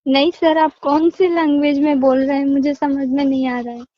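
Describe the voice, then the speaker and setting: A woman's voice: high-pitched, flat delivery, normal speed, no room sound. A woman customer care agent on a phone call, polite and businesslike. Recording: muffled phone call recording.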